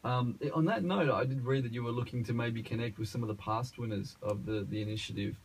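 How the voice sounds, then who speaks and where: Male radio interviewer, Australian, medium pitch. Gravelly, informal banter, slight background noise. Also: fast talker, rough recording. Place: radio studio.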